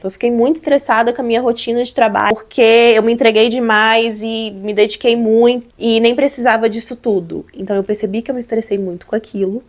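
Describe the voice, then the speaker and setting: A young Brazilian woman with a hoarse, slightly nasal voice from a cold, casually explaining goal-setting tips to her viewers in a chatty way. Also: fairly high pitch. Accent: Brazilian Portuguese. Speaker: vlogger. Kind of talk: casual advice vlog.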